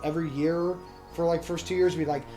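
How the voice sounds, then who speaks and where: A man co-hosting a podcast, chatting casually and haltingly, repeating words as he works toward a point. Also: on the high side, fairly even intonation, over loud music. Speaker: podcast co-host. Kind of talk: casual chat.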